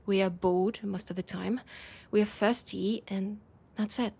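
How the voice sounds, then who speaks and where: Husky French-accented vampire woman, acted by a woman. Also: medium pitch, steady tone, mellow. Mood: bitter, weary. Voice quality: breathy, husky. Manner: slow, hesitant, intimate confiding with pauses. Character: weary, wry female vampire, confiding and world-weary. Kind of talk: intimate audio roleplay acting.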